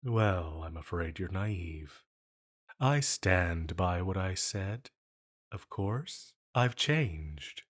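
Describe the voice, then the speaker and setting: Guy doing an ancient banished villain for audio roleplay, really deep and slow, fancy theatrical wording, menacing but kinda fond. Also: lively intonation, heavily acted. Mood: curious, teasing. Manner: slow, deliberate, theatrical, formal phrasing with pauses. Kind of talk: scripted intimate audio roleplay.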